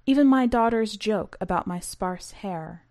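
A woman's voice: medium pitch, measured pace, dark tone, no echo, clean studio sound, lightly acted. A woman reading as an earnest balding father who recounts a perilous sea voyage in dramatic storytelling.

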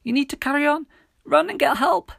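Man putting on a high-pitched frightened teenage girl. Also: fairly quick, creaky, fairly bright tone, dry recording, clean studio sound, exaggerated voice. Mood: pained, urgent. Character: frightened teenage girl, shouting in pain and alarm. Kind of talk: audiobook character voice.

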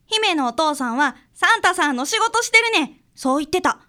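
A woman's voice: high voice, animated, a little fast, bright, forceful tone. A woman playing a sincere, insistent second-grade girl in a radio drama, speaking childlike Kansai dialect. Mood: earnest. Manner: childlike, earnest, matter-of-fact. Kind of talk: community radio drama acting.

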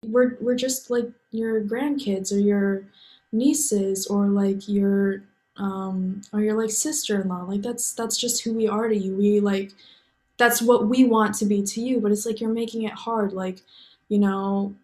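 A woman's voice: mid-range voice, steady tone, a little slow, darkish tone. A young American woman talking in a casual interview, rambling and filling her speech with "like" and "you know.". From United States. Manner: rambling, heavy use of "like". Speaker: guest.

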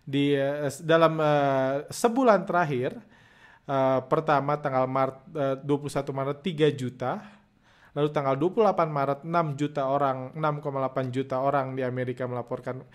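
Guy with a bad cold, hoarse, lots of uhs, chatting about stocks, normal pitch. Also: steady tone. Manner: halting, many filler sounds and restarts. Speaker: stock trading podcast host. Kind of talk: casual market commentary.

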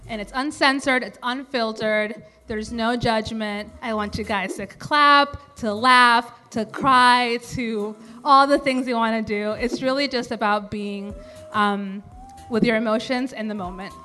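A woman with a fairly high, bright voice hosts a live storytelling event. She speaks to the audience as she introduces the show, with faint music behind her. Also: fairly even intonation, a little slow.

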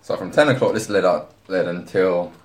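A man's voice: sits low, normal speed. A man, telling a story in a laid-back casual chat and laughing along. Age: 20s. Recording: echoey room, faint noise.

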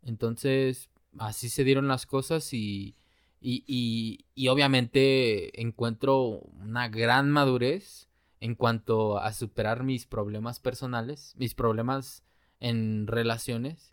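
A young Mexican man with a Mexican Spanish accent talks loosely with a friend. He is full of slang and laughs easily, and he turns slow and thoughtful when he gets serious. His voice sits at an everyday middle pitch. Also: fairly even intonation, dry recording, clean studio sound. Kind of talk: casual chat.

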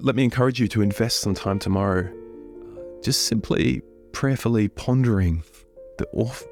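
A man with a head cold and a hoarse voice, leading prayers and giving an earnest, unhurried reflection as a church minister. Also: deep voice.

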